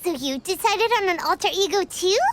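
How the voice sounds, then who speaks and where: A woman voicing a tiny, excitable female fairy companion in a video game cutscene, chirpy and bubbly. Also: very high voice, a little fast, noisy background. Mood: eager. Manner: chirpy, bubbly, refers to herself in third person. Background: game score music.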